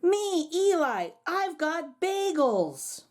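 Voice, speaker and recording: Woman playing an eager, high-voiced young boy. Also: very animated, drawn-out words, full character voice.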